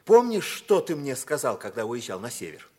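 An actor playing a fatherly, ageing male teacher with a husky voice and a hearty, solicitous manner in a 1950s radio drama. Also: mid-range voice, huge pitch swings, moderate pace, darkish tone. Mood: serious, insistent. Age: older. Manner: hearty, exclamatory, paternal. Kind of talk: 1950s radio play acting.

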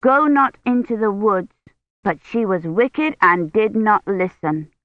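A woman's voice: lively intonation, takes it slowly. A woman voicing an eager, insistent little girl in a storybook, with a small childlike voice. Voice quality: small, breathy. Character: eager little girl prompting and judging a bedtime tale. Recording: roomy, muffled low-fidelity audiobook.

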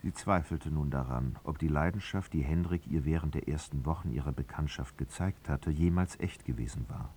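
An actor as the grave literary narrator of a radio drama, measured and solemn. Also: male voice, very deep voice, warm tone, a little hiss or hum.

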